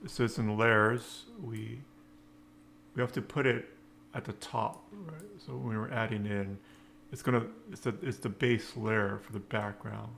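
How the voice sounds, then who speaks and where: A man teaches programming at a very unhurried pace, working it out aloud in halting fragments. His mid-pitched voice has plenty of vocal fry, and the recording is noisy, with typing audible behind him. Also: steady tone, a bit bright. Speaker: programming tutorial instructor. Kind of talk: unscripted coding walkthrough, thinking aloud. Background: computer keyboard typing.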